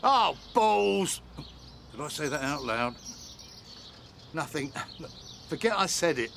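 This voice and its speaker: A man's voice: a little high, big pitch swings, takes it slowly, gravelly, a bit bright, a little hiss or hum, exaggerated voice. An actor playing an irritable, busy father in a radio soap, brusque and muttering. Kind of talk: radio soap opera acting.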